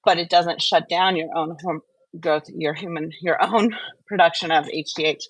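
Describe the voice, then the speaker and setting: Slightly low-pitched woman hosting a chat. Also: steady tone, normal speed, poor audio. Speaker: show host. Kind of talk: casual chat.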